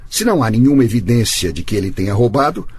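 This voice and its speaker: A man's voice: fairly low pitch, expressive, fast talker, slightly rough. An actor playing a confident, courteous male defence lawyer in a radio drama, speaking formally and with assurance. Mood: firm, arguing.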